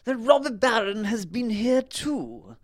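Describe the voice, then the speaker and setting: Storybook king: high, loud, plaintive and fretful. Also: sing-song, measured pace, dry recording, studio quality, exaggerated voice. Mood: distressed. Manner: high, strained, loud character voice. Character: elderly-sounding king, anxious and plaintive, storybook.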